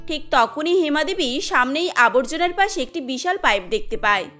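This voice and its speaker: A woman tells a scripted cartoon story. Her voice is high and swings animatedly in pitch, and faint music plays beneath the narration. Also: expressive, normal speed, sharp tone.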